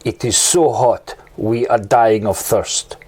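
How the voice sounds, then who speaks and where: A man doing a loud broken-English runaway. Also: fairly low pitch, fairly even intonation, slow, breathy edge, pitched below the performer's own voice. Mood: declaiming. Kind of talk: comedy sketch.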